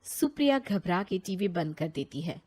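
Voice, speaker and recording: A woman's voice: lowish voice, expressive, moderate pace, a little mellow, husky. A woman narrating a scripted cartoon story for children.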